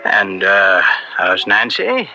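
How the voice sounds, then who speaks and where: An actor playing a middle-aged, evasive political fixer and family friend in an old-time radio drama. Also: male voice, fairly low pitch, wildly swooping pitch, slow pace, music in the background, exaggerated voice. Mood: placating, evasive. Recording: noisy old radio broadcast.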